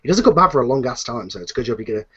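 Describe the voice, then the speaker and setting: A man's voice: medium pitch, very animated, rapid-fire, pushed and bright. A man casually hosting a panel Q&A, talking in an informal, rambling way. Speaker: host and series creator. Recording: dull lo-fi online call audio.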